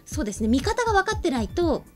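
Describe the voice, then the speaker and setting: A woman's voice: extremely high pitch, sing-song, fast talker. A young adult woman chatting casually and explaining things as a talk show co-host at a studio desk microphone. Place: small indoor studio set. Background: faint background music.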